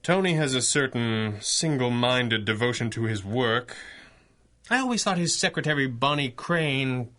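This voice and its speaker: An actor playing a clever masked crime fighter in a 1930s-style radio adventure, speaking formally with dry understatement and command. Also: male voice, mid-range voice, lively intonation, a little slow, sharp tone. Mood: dry, thoughtful. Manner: formal, wordy, dryly understated. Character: masked male mystery-man hero, clever, dry, commanding.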